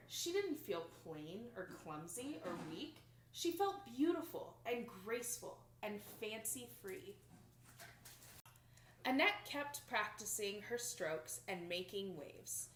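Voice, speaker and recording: A young woman reads a children's picture book aloud with expressive, dramatic emphasis, recorded in a small room indoors. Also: fairly high pitch, slow, a little hiss or hum. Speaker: storybook reader. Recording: roomy home recording.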